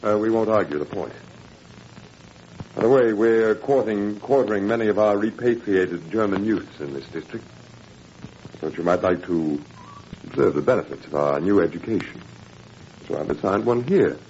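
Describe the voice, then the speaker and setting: A menacing Nazi official in a 1940s radio drama. His low voice slides widely up and down, mock-courteous and condescending, with drawling hesitations. The old recording is dull and noisy. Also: lively intonation, moderate pace, pauses often, darkish tone. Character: smug, menacing Nazi education official, former university colleague.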